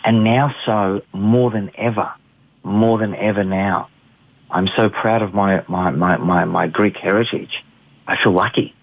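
A man's voice: fairly low pitch, fairly even intonation, measured pace, no room sound. A middle-aged man with an Australian accent answering interview questions in an earnest, emphatic, conversational way, often repeating phrases. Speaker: interview guest. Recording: narrow phone-like line, dry.

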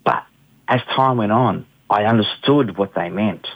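A man's voice: fairly low pitch, unhurried, gravelly, husky, dry recording. A middle-aged man with an Australian accent answering interview questions in an earnest, emphatic, conversational way, often repeating phrases. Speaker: interview guest. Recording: narrow phone-like line, dry.

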